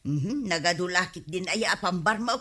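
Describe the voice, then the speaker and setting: A woman's voice: lowish voice, normal speed, fairly bright tone, husky. A woman with an Ilocano accent playing a nagging but caring village wife in a radio comedy drama, scolding and exclaiming.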